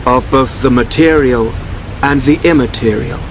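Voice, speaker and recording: Calm, enigmatic mystic, acted by a man. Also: medium pitch, noisy background, close to an everyday voice. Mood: cryptic, composed. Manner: formal, measured, cryptic. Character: calm, enigmatic, all-knowing young mystic seer. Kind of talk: dramatic video game voice acting.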